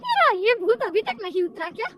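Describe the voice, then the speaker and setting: A woman's voice: very high voice, sing-song, brisk, soft background music. A woman voicing an exasperated middle-aged mother-in-law in a cartoon story, stern and nagging. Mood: exasperated scolding.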